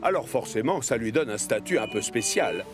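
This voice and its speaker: A man's voice: medium pitch, animated, moderate pace, natural voice. A man narrating a children's cartoon in French, explaining the story as a scripted storyteller. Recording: music behind the voice.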